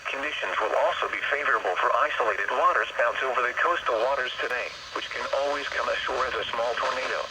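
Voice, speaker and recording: Clear noise sits over a weather radio broadcast. An American man with a medium-pitched voice reads a scripted bulletin. His delivery is formal, measured and automated, like an official announcer. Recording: noisy weather radio reception.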